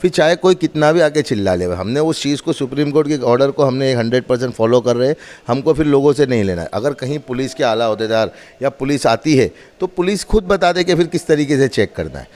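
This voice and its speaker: Male demonstrator, mid-pitched voice. Emphatic instructional delivery that restates each point. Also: animated, fast, hardly any pauses, a little mellow. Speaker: mosque representative demonstrating loudspeaker equipment. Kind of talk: spoken demonstration and explanation.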